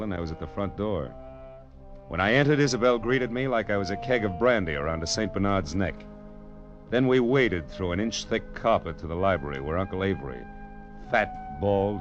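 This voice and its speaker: A dull vintage radio recording carries a tough male private detective. He has a lowish voice and a dry, wisecracking delivery in 1940s noir radio style. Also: lively intonation, fairly quick, music in the background. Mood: wry narrating. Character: wry, hard-boiled male private detective narrator.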